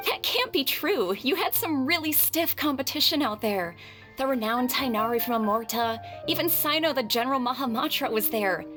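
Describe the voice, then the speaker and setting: Young female student, fairly high voice, earnest, encouraging, anime game, faint music. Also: lively intonation, moderate pace. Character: supportive, admiring young female classmate. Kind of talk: anime video game voice acting.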